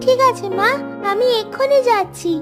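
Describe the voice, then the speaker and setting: A woman's voice: extremely high pitch, moderate pace. A woman voicing a sweet, obedient little village daughter in a cartoon, childlike and eager. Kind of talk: Bengali cartoon dubbing. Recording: music behind the voice.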